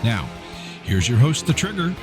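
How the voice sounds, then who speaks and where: A man reading a scripted show introduction in a booming announcer style. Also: very animated, normal speed. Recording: voice-over over guitar music.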